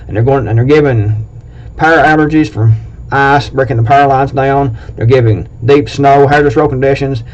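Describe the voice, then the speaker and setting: Man, Appalachian accent, blunt, plain-spoken, rambling, medium pitch, dull lo-fi sound. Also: darkish tone. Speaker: prepping video host. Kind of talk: casual talk to camera, reading a list. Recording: dull lo-fi recording.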